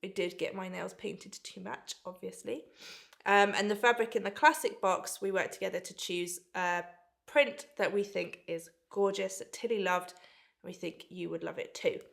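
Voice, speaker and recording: A woman's voice: mid-range voice, steady tone, moderate pace, vocal fry, fairly bright tone. A woman in her thirties, stuffed up with a cold, chatting enthusiastically as she unboxes a sewing subscription box. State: blocked nose from a cold. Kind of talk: casual unboxing vlog.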